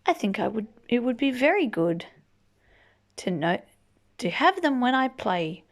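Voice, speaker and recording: Female storybook reader, playful and very lively in pitch, slow-paced with some creak, stretching words for young listeners. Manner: playful, drawn-out emphasis. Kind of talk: animated children's read-aloud with asides.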